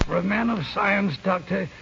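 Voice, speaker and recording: Creaky, high-voiced old recluse. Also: male voice, exaggerated voice. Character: elderly English recluse, wistful, stubborn, haunted by past. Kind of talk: 1940s radio acting. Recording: dull, noisy old radio recording.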